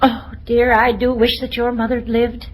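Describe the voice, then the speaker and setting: An actress playing a prim, fretful older spinster who scolds, in a 1950s radio comedy. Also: female voice, fairly high pitch, completely flat delivery, normal speed, close to an everyday voice. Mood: reproving. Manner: prim, reproving. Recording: noisy old radio recording.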